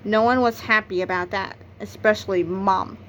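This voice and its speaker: A woman's voice: mid-range voice, steady tone, moderate pace, fairly bright tone, slight background noise, poor audio. A woman narrating a read-aloud of a children's comic novel, acting out its exclamations and sound effects. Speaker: book narrator.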